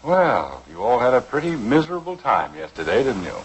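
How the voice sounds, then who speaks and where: An actor playing an earnest, stern, principled middle-aged American father in a measured, lecturing 1950s radio drama style. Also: mid-range voice, sing-song, breathy edge. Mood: wry reproach. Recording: noisy vintage 1950s radio broadcast.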